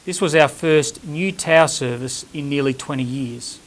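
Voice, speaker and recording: A middle-aged Australian man presenting scripted corporate narration in a formal, measured way. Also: on the high side. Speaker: executive presenter.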